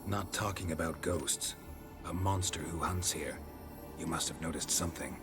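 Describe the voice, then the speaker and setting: Stoic monster hunter, game hero, rock-bottom pitch, gravelly, terse, suspicious, world-weary. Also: male voice, normal speed, loud music behind the voice. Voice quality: gravelly, low. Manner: terse, deadpan, clipped sentences. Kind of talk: dramatic video game acting.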